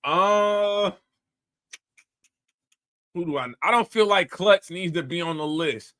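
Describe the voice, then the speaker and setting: Animated, bright-voiced man talking bluntly. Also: sits high, takes it slowly. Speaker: host. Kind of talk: casual commentary.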